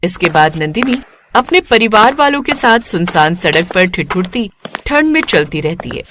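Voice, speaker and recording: A woman's voice: lowish voice, big pitch swings, normal speed, background noise. A woman narrating a scripted moral story as a storyteller.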